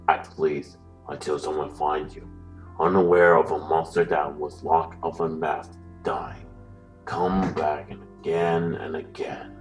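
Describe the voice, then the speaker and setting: A cold puppet mastermind with an extremely deep male voice, speaking slowly and deliberately. He sounds distant, ominous and formal, moralizing like a horror audio drama villain over music. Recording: distant voice under loud music.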